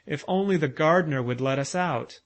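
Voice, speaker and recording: A man voicing a gentle, motherly little girl who speaks softly and earnestly. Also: on the high side, fairly quick, voice pushed up, close to an everyday voice. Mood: pleading.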